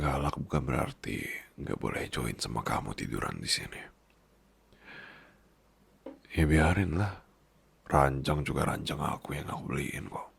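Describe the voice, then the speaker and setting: Casting: male actor as nagging yet tender boss husband, extremely low gravelly voice, intimate ASMR roleplay. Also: exaggerated voice. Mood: soft, grumbling. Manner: teasing, nagging, tender, slips into English. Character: bossy, scolding but doting big-baby boss husband.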